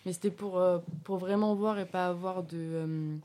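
A woman's voice: lowish voice, fairly even intonation, normal speed, warm tone. A woman reviewing a film in a casual radio chat, hesitant and full of fillers.

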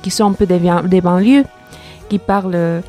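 A woman's voice: lowish voice, fairly even intonation, moderate pace, mellow, soft background music. A woman from Mexico City with a Mexican Spanish accent, co-hosting a casual radio podcast, hesitant with many fillers and laughs. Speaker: podcast co-host.

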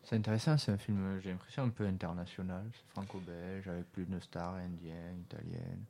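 A man hosting a casual radio show about cinema, relaxed and talking straight to the listeners. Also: low voice, brisk, gravelly, slight background noise.

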